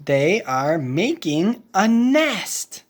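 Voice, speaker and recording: A woman's voice: on the low side, sing-song, drawn-out words. A woman reading a children's picture book aloud in a playful way, with little interjections and sound effects.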